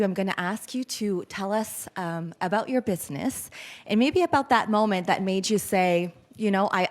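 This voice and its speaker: A woman moderating a conference panel, asking questions briskly. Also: medium pitch. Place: conference stage.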